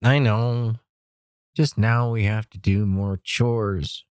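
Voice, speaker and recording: Indifferent, lazy teen brother played by a man, very slow unbothered drawl, smooth tone, audio drama. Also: fairly low pitch, steady tone, no echo, studio quality.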